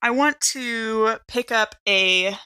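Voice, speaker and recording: A woman's voice: a little high, takes it slowly, bright, forceful tone, clear, resonant tone. A woman playing a tabletop roleplaying game, bantering and reacting casually.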